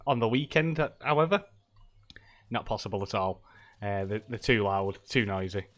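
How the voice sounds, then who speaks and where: A man's voice: on the low side, moderate pace. A middle-aged man, stuffy from a cold, chatting casually and rambling with lots of ums and laughs while live-streaming a game.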